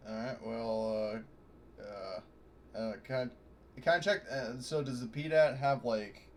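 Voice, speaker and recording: A man's voice: medium pitch, measured pace, a little hiss or hum. A man playing a tabletop game, chatting casually in a hesitant, fumbling and sweary way. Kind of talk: casual chat.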